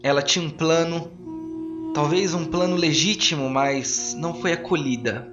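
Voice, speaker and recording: A man's voice: on the high side, normal speed, echoey room, highs cut off, over music, lightly acted. A man playing a grave, eloquent arcane council speaker with a formal, declamatory delivery in a tabletop audio drama. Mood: regretful.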